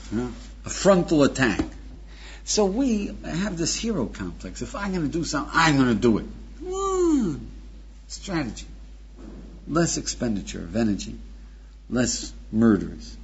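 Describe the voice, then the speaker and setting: A man's voice: mid-range voice, sing-song, a little slow, darkish tone, husky, muffled recording. A man teaching as a rabbi, lecturing emphatically with rhetorical questions and calling his listener "friend". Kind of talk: recorded lecture.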